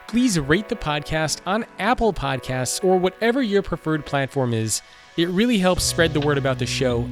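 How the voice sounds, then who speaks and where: A man hosting a business podcast, reading a polished intro and then interviewing his guest. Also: fairly high pitch. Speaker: podcast host.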